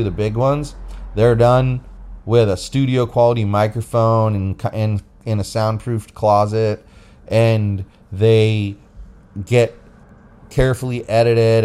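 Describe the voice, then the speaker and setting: A man's voice: lowish voice, a little mellow. A man co-hosting a casual, unscripted podcast, explaining things in a rambling way into a phone. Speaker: podcast co-host. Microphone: recorded into a phone app.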